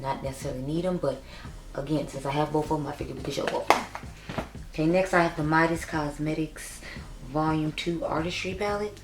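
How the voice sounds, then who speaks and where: A young woman with a raspy voice, chatting casually and upbeat as she reviews makeup for her vlog. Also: sits low, a little hiss or hum. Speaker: beauty YouTuber. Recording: echoey room.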